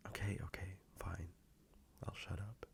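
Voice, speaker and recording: Guy acting as a sweet soft spoken boyfriend, super gravelly fry, really slow and cozy, audio rp style. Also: flat delivery, slight background noise, exaggerated voice. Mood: affectionate, playful. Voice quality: low, gravelly, breathy at times. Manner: soft, slow, reassuring, intimate. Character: gentle, affectionate boyfriend checking in on partner. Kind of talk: intimate boyfriend audio roleplay, softly spoken.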